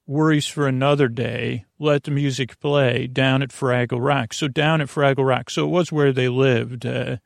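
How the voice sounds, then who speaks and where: A man hosting a bedtime podcast in a halting, meandering monologue that circles back on itself, with a gravelly voice. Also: mid-range voice, steady tone, dry recording, clean studio sound. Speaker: podcast host.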